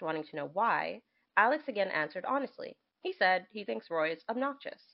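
A woman podcast co-host with very lively, swinging intonation. She chats casually and reads letters aloud expressively. The sound is a little dull and lo-fi. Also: lowish voice. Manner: expressive, reacts to what is read.

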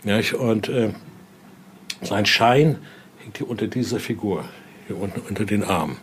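A man's voice: lowish voice, moderate pace. An older man explains and tells stories like a tour guide, speaking spontaneously and often adding little questioning tags. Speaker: museum guide and interviewee. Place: indoor exhibition room. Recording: clearly noisy room ambience.

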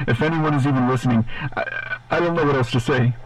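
A man playing a despairing radio DJ, halting and hesitant. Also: mid-range voice, faint music behind, lightly acted, low-quality recording. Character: haunted male radio DJ alone in a booth.

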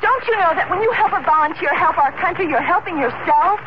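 Very high, feisty colonial gunner. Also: female voice, expressive, fairly quick. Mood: scolding. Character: feisty young colonial woman cannon volunteer. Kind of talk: radio public service ad acting. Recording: muffled radio ad, loud battle noise.

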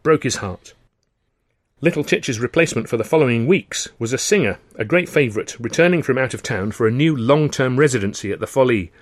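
A man's voice: mid-range voice, animated, a little fast, dry recording. A man narrating an audiobook of his own novel in the first person, with a droll storytelling manner.

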